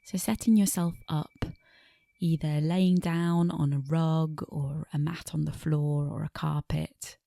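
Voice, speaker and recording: Low, creaky woman guiding meditation. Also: steady tone, dry recording, clean studio sound. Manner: gentle, unhurried, pausing between short phrases. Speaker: meditation teacher. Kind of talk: guided body scan meditation.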